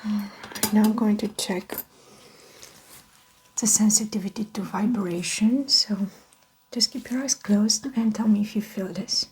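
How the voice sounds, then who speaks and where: Whispery, soft-spoken woman in ASMR doctor roleplay. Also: medium pitch, slight background noise, low-quality recording. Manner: gentle, unhurried, explaining each step. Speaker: ASMR performer playing a doctor.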